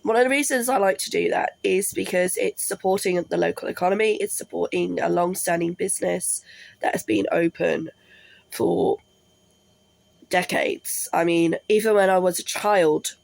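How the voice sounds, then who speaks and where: Congested woman vlogger, slow gravelly delivery, apologetic and rambling, dry close sound. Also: on the low side, darkish tone, no echo, low-quality recording. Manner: apologetic, rambling, many ums. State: congested with a cold. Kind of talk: casual talk to camera. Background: washing machine running.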